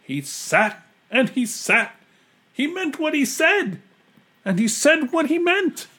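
A man doing squeaky amazed circus folk. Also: very animated, slow pace, sharp tone, exaggerated voice. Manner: high squeaky exclaiming.

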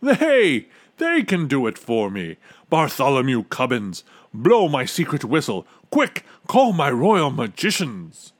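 A man's voice: medium pitch, very animated, very slow, fairly bright tone, no echo, heavily acted. A man voicing a grumpy, demanding old king who growls and bellows, in a storybook reading. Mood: gleeful, excited.

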